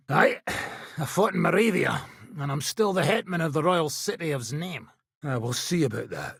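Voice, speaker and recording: An actor playing a gruff, brash, middle-aged medieval mercenary nobleman in a video game, with a raspy, gravelly voice. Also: a little high, expressive, unhurried, fairly bright tone, no room sound, clean studio sound, exaggerated voice. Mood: sardonic, gruff. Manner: brash, sardonic, swearing.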